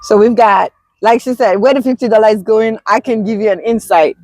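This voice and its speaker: A woman's voice: on the high side, a little fast, darkish tone, no echo, poor audio. A Nigerian woman with a Nigerian accent hosting an interview, affirming her guest with frequent murmured agreement. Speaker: podcast host. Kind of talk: conversational interview.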